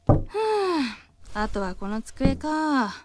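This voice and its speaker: A woman's voice: sits high, very animated, extremely slow, a little mellow, slight background noise, full character voice. A woman playing an easygoing, dreamy young aspiring cartoonist who sighs and muses to herself in a radio drama. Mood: nostalgic. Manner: sighing, musing to herself.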